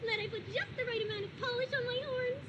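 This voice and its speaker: A woman's voice: extremely high pitch, fast, highs cut off. A woman voicing a bubbly, playful female puppet in a cartoon, with a teasing, exaggerated manner. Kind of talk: cartoon character voice acting. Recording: loud background noise.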